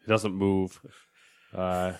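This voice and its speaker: A man's voice: deep voice, level tone, slow, slight background noise. A man co-hosting a gaming podcast in casual chat, laid-back, with short replies. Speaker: podcast co-host.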